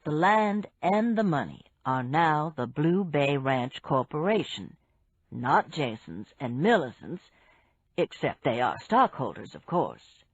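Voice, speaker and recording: A woman voicing a calm, knowledgeable businessman who explains patiently and matter-of-factly in an audiobook story. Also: low-pitched, slightly rough, no room sound, muffled recording, pitched below the performer's own voice, exaggerated voice. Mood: patient, assured. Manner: measured, matter-of-fact explaining.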